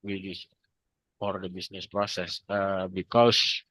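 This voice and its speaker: A man's voice: sits low, little pitch movement, measured pace, sharp tone, no room sound. A young man from North Sumatra with an Indonesian accent, answering in class hesitantly with many restarts. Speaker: student. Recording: dry, dull lo-fi sound.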